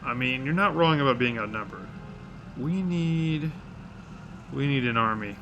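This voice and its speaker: A man's voice: mid-range voice, a little slow, dull audio, background noise, close to an everyday voice. A man playing the casual, somewhat hesitant leader of a band of heroes, speaking wryly in an audio drama. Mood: earnest, wry.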